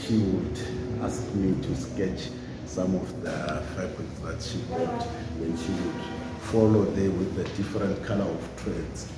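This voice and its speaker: A Zimbabwean man in his forties, a painter telling his story in an interview, unhurried and halting with many pauses. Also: deep voice, fairly even intonation. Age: 40s. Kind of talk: casual conversational storytelling. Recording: roomy echo, loud music behind. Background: loud music.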